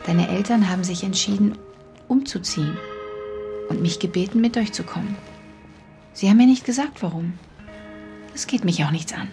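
A woman's voice: mid-range voice, moderate pace, vocal fry, long pauses, lightly acted. An actress playing a patient but firm mother of a young boy in a radio play. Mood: stern. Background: music.

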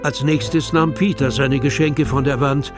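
A man's voice: medium pitch, fairly even intonation, unhurried. A man narrating a children's audio drama as a scripted storyteller. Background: music.

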